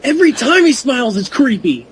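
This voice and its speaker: A man's voice: very high voice, big pitch swings, fairly quick, noisy background. A man chatting casually as a panelist on a podcast. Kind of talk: casual chat with interjections.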